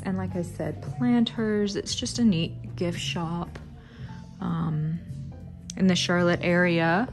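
A woman's voice: medium pitch, darkish tone. A woman in her thirties, a plant vlogger, gushing with enthusiasm as she narrates a walk through an indoor plant shop. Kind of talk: casual shop-along narration. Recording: background music under voice.

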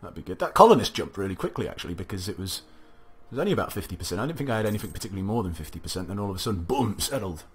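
A young man casually commentating a strategy game, thinking aloud, his voice a little gravelly from a recent cough. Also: low voice, expressive, racing, few pauses. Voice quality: slightly gravelly. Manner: musing aloud, chatty, self-talking. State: recovering from a cough, slight gravel. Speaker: let's play commentator. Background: faint game music.

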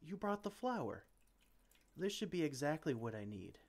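Man acting a flustered, theatrical mage with swooping pitch. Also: sing-song, moderate pace. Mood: absorbed, pleased. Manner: theatrical, exclamatory, speaks to a silent assistant. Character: flustered, well-meaning male mage and potion brewer. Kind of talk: ASMR roleplay acting.

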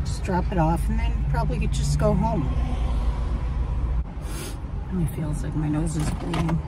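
A woman's voice: lowish voice, a little slow. A middle-aged woman chatting casually to camera from her parked car, rambling and self-deprecating. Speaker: vlogger. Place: parked car, outdoors in sun. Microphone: phone camera on dashboard. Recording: distant mic with loud street noise.

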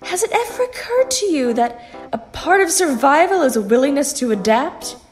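A woman playing a composed phantom in a sci-fi audio drama, reasoning in a measured, pointed and persuasive way. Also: sits high, very animated, faint music behind. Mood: earnest, challenging.

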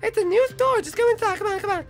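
A man voicing an excitable, whiny young boy sidekick who squeals and shrieks. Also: very high voice, fast, faint music behind, voice pushed up. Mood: eager. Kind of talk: voiced animated story roleplay.

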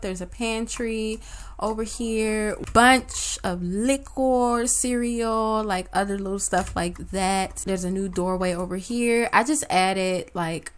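A woman hosting a life-sim let's-play, chatting casually and talking straight to her viewers in slangy banter, her voice a little worn as if she is losing it. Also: mid-range voice. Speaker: gaming channel host.

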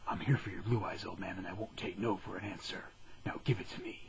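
A man voicing an arrogant, cold young billionaire in a parody anime dub, smug and deadpan with a breathy, hushed delivery. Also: deep voice, fast, slight background noise.

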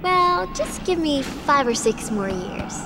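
A woman's voice: high-pitched, very animated, slow, over music, heavily acted, low-quality recording. An actress playing a perky, impulsive teenage girl adventurer in a video game, casual, bubbly and a little pouty. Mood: chatty, wistful.

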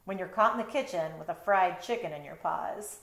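A woman's voice: lowish voice, a little fast, creaky, fairly bright tone. A woman voicing a smug, playful storybook cat, self-satisfied and instructive about its carefree ways. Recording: roomy bedroom sound.

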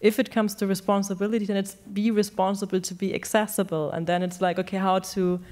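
A woman's voice: mid-range voice, flat delivery, fast talker, a little mellow. A young woman speaking on a panel discussion, into a handheld mic on a stage, thoughtful and searching for words. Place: large stage in a hall.

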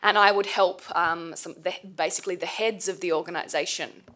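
A woman's voice: fairly low pitch, fairly even intonation, brisk, sharp tone. Young woman with a tired, fading voice. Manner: hesitant, many fillers and restarts. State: losing her voice. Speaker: panel speaker, former intern. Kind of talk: informal panel talk. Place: seminar room, panel table. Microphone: table mic.

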